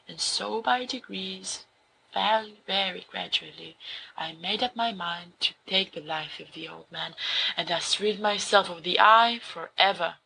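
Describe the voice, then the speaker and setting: A woman voicing a young male wizard student who reads a horror story aloud to his friends, slow and hushed at times. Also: medium pitch, gravelly, a little hiss or hum, low-quality recording. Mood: dramatic. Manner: slow, hushed dramatic reading. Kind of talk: audiobook fan fiction reading.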